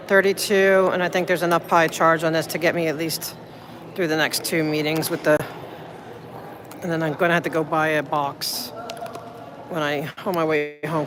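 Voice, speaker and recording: A woman's voice: sits low, steady tone, moderate pace. A woman livestreaming from a public building, chatting loosely and thanking viewers as she goes. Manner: rambling, thinks aloud, thanks viewers. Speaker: livestreamer filming city meetings. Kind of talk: casual livestream chat. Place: public building hallways and elevators. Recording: noisy phone stream.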